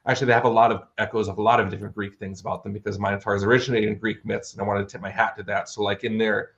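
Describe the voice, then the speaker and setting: A man's voice: fairly low pitch, level tone, very fast, a bit bright, muffled recording. A man speaking as an author being interviewed, explaining his fantasy worldbuilding in an articulate, bookish, conversational way.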